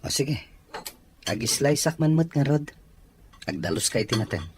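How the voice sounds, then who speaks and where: A man's voice: medium pitch, animated, normal speed, natural voice. Audio drama casting: a man as kindly but evasive young boss, mid-range voice, faintly noisy recording. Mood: friendly. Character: casual, evasive young male employer, kind to his maid.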